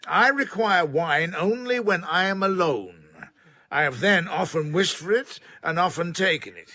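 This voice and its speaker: A domineering older man of letters in a period radio play. His voice is forceful and fairly high, swinging widely as he delivers emphatic, witty verdicts. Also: expressive, unhurried, dry recording, heavily acted. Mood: opinionated. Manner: emphatic, argumentative, pronouncing. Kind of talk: period radio drama acting.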